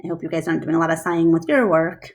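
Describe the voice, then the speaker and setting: A woman reading a storybook aloud expressively, like a teacher, pausing to explain things to listeners. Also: low voice, steady tone.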